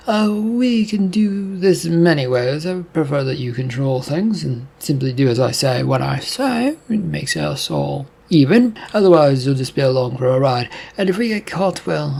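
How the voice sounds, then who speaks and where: A man's voice: fairly high pitch, gravelly, a little mellow, husky, exaggerated voice. A man voicing a scheming male demon who possesses a man, smug and mocking.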